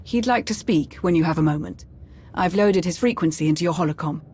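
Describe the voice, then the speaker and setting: A woman playing a loyal, businesslike commander's aide in a video game, brisk in manner and deferential. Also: fairly low pitch, dark tone, slightly rough. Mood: dutiful.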